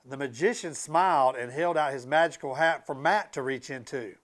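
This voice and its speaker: A man reading a picture book aloud to children in an expressive, emphatic way, with casual asides to his young listeners. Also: medium pitch, normal speed. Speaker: school transportation director reading aloud to students.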